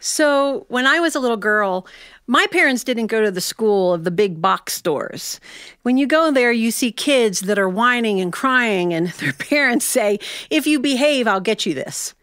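A woman's voice: fairly high pitch, expressive, a little slow, a bit bright, clean tone, dry recording. A middle-aged American woman, a storyteller telling a personal tale live to an audience. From Ohio, United States.

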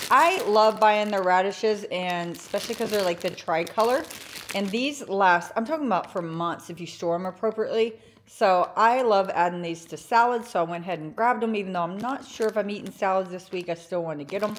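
A young woman talks through her grocery haul from her kitchen counter, chatty and upbeat. Her voice sits at a middle pitch and keeps an easy, conversational flow, with plenty of filler words along the way. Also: slight background noise. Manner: chatty, enthusiastic, lots of fillers like "like" and "um". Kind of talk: casual grocery haul narration.

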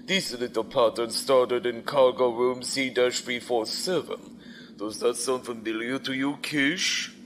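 A man's voice: mid-range voice, steady tone, unhurried, fairly bright tone, slight background noise, lightly acted. Man acting as coolly ruthless space-empire commander. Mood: cold command. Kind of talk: science fiction audio drama acting.

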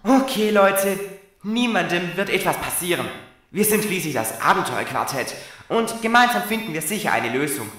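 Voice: mid-range voice, lively intonation, moderate pace, fairly bright tone, roomy sound, natural voice. An actor playing a confident, reassuring young detective who leads the group in a children's radio play.

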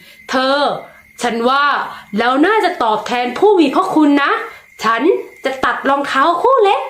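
A woman voicing a poor, gentle old shoemaker who speaks slowly in wonder. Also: high-pitched, very animated, reverberant, exaggerated voice. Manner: slow, wondering, drawn-out speech.

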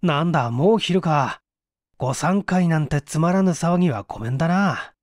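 A man's voice: fairly high pitch, animated, moderate pace, dry recording, studio quality, lightly acted. A man voicing a blunt, serious, unsociable male engineer in a story reading, with a brusque manner. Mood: grumpy.

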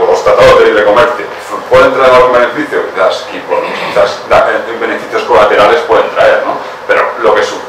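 A man's voice: on the low side, far from the mic. Tired man with a Catalan accent, echoey room. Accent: Catalan-accented Spanish. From Barcelona. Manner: hesitant, many fillers and restarts, asks "¿no?". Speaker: guest speaker. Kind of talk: public lecture with slides. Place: small bare seminar room. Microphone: far room camera. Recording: very echoey room from afar, background noise.

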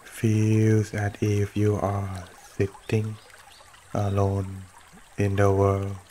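A man leading a guided meditation as a teacher, speaking gently and unhurriedly in short phrases with long pauses, over running water. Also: on the low side, monotone, slight background noise. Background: trickling stream water.

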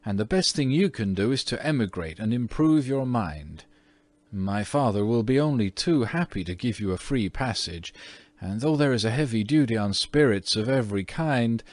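A man's voice: lowish voice, no room sound. A man voicing a prim, spirited young American girl in a storybook, earnest and scolding. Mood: scornful. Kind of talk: storybook character reading.

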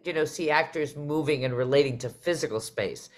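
A woman's voice: sits low, a little fast. A middle-aged woman hosting a conversational panel over a video call, musing and emphatic as she circles through her phrasing. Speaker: host and theater artistic director. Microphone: video call microphone.

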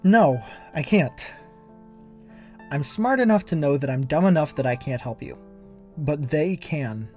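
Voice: mid-range voice, animated, unhurried, pauses often, dark tone, lightly acted. A wisecracking masked comic-book antihero, played by a voice actor with a wildly swooping, theatrical delivery. The tone is flippant and manic with a caring streak, over faint music. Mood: awkward, earnest. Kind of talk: comic-book dub voice acting. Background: background music.

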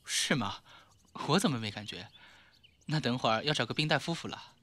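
A man playing a weary, evasive, wounded former surgeon in his thirties in an audio drama, soft-spoken and guarded. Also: medium pitch, animated, fairly quick. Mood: evasive, casual. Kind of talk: audio drama acting in Mandarin.

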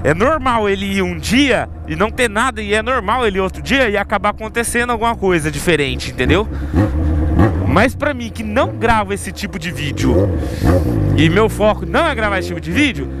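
High, lively young man over loud engine noise. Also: fairly quick. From Brazil. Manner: slangy, rambling, addresses viewers as mano. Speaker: motovlogger. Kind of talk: casual chat while riding a motorcycle. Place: outdoors on city streets, riding a motorcycle.

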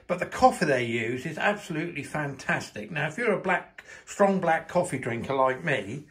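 A man's voice: a little high, expressive, normal speed, fairly bright tone. An older man in a home kitchen, chatting casually through a how-to with lots of fillers and restarts, filmed on a handheld phone. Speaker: home video maker.

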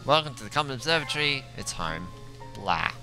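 A male gamer talks casually through his play. His pitch sits in the middle range for a man, and video game music is clearly heard behind his voice. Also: very animated, fairly quick, pushed and bright. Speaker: gamer in a group race video. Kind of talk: casual gaming commentary.

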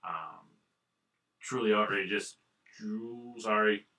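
A man's voice: fairly low pitch, slow pace, bright, forceful tone. A man hosting a radio show in casual chat, with a profane, self-deprecating, joking manner. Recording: distant microphone.

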